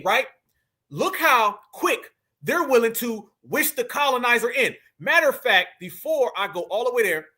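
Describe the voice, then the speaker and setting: High, bright-voiced fired-up male commentator. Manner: fired-up, addresses audience directly as "fam" and "y'all". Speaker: online commentator. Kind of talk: reaction commentary.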